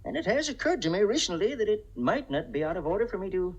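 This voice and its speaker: An actor playing a timid, wistful older bachelor in a 1950s radio drama, hesitant and mild. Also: male voice, high-pitched, sing-song, fast talker, muffled recording.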